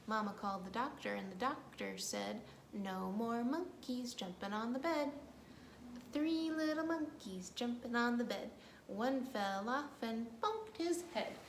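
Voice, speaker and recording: A woman leading a children's storytime as a librarian, playfully reciting rhymes and action songs in a sing-song way, talking directly to young kids. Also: a little high. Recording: echoey room with faint background noise.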